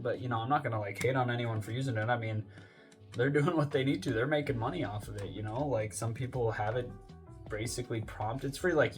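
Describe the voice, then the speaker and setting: A young man talking casually as a fitness vlogger, rambling with lots of fillers and self-corrections. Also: lowish voice. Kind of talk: casual rambling vlog talk.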